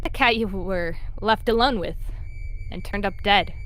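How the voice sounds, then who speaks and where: A woman voicing a suspicious young tomcat apprentice in a voice roleplay, prying and quick to ask questions. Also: medium pitch, fairly bright tone, slight background noise, natural voice, low-quality recording.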